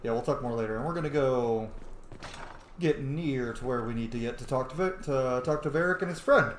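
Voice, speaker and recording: Guy doing chatty let's play commentary, medium pitch, echoey room. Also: brisk, slight background noise. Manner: chatty, reacting aloud to the game. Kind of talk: casual gaming commentary.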